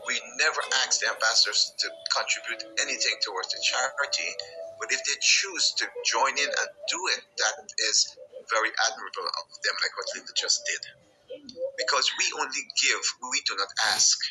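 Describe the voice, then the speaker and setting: A man in his sixties from Guyana, speaking on a video call and telling stories in an excitable, friendly way. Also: mid-range voice, a little fast, faint music behind, poor audio. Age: 60s. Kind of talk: casual meeting talk.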